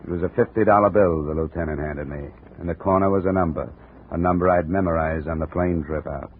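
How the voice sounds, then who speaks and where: An actor playing a tough, terse insurance investigator in a 1950s radio detective drama, clipped and matter-of-fact. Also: male voice, low voice, fairly even intonation, brisk, lightly acted. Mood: dry, measured. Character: tough, terse male insurance investigator narrating his case. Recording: muffled, noisy 1950 radio recording.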